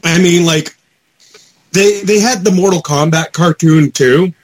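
A man with a slightly high, rather husky voice chats casually on a podcast panel. He is enthusiastic and quick to offer his opinions. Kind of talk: casual group chat.

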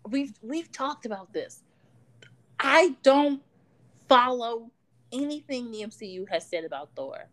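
A woman in her twenties from Chicago, co-hosting a casual fan podcast, bubbly and quick to laugh. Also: low-quality recording. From Chicago, Illinois. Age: 20s. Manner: bubbly, laughs a lot, animated swings in pitch and volume. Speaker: podcast co-host. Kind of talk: casual chat.

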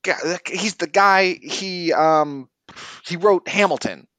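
A man's voice: high-pitched, slow pace, bright, forceful tone, no echo, pitched above the performer's own voice, exaggerated voice. A man playing a clueless, chatty male office coworker in a comedy sketch, rambling and tactless. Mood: eager.

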